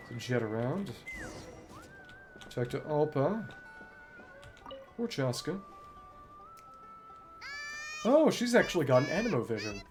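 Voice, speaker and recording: A game streamer giving casual commentary while playing. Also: mid-range voice.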